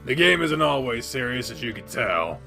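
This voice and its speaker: A man voicing an excitable young male animal-person who boasts about his tabletop game characters. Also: mid-range voice, normal speed, creaky, music in the background. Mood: chatty. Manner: giggly, boastful storytelling.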